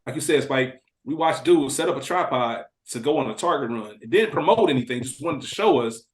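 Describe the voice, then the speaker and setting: American man, mid-pitched voice, echoey room, slangy, plainspoken, casual podcast chat. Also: expressive, a little fast. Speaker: podcast panelist.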